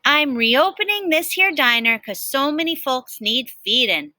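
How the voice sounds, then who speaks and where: A woman voicing a cheerful, warm-hearted, folksy woman cook from a storybook, singsong with dropped word endings. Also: high voice, lively intonation, a little slow, no room sound, clean studio sound, pitched above the performer's own voice, exaggerated voice. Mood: proud. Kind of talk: storybook character voice.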